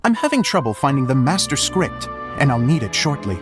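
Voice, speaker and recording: An actor voices a dreamy, earnest stage director in an anime video game. The delivery is theatrical with lively, wide pitch movement, turning humble and hesitant. Music plays softly behind the voice. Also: fairly quick, lightly acted. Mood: flustered. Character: earnest, dramatic amateur stage director, humble and a little flustered.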